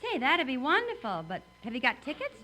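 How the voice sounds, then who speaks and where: A woman's voice: sits high, wildly swooping pitch, fairly quick, sharp tone, exaggerated voice. An actress playing a wry, teasing, skeptical woman friend in a 1950s radio comedy. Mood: friendly. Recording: old radio recording, faint noise.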